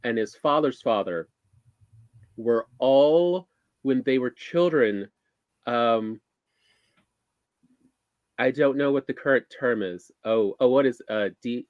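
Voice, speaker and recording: A man with a Southern accent chatting casually, halting and searching for words. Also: mid-range voice, a little mellow, no echo, dull audio. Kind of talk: casual chat.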